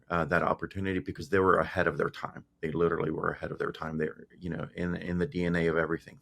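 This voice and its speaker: A man's voice: low-pitched, gravelly. A middle-aged man from Greece, a guest on a talk show, telling his story casually with many fillers and restarts. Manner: relaxed, frequent fillers and restarts. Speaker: guest, tech founder.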